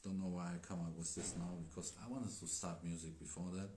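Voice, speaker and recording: A man who is not a native English speaker, chatting casually as a Daoist teacher on a livestream, halting with many fillers. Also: low voice, fairly even intonation, darkish tone. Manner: halting, filler-heavy, laughs often. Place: indoor room with tea table. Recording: echoey room, faint noise.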